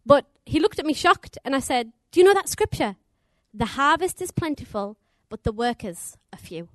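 Chatty high-voiced young woman preaching. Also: very animated, moderate pace, a bit bright, no echo. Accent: put-on American twang on English. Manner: chatty, laughs often, upbeat. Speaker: missionary preacher. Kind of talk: sermon. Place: church.

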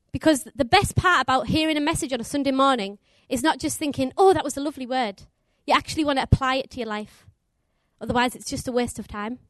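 A woman's voice: high-pitched, no room sound. A young woman preaching as a missionary. She speaks English with a put-on American twang, chatty and upbeat, laughing often. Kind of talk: sermon. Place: church.

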